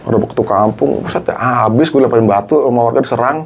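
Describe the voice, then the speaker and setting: Man, middle-aged, casual storytelling, slangy, acts out dialogue, mid-pitched voice. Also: fast talker, gravelly, slight background noise. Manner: animated, acts out quoted dialogue, Jakarta slang. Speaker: guest, former TV cameraman.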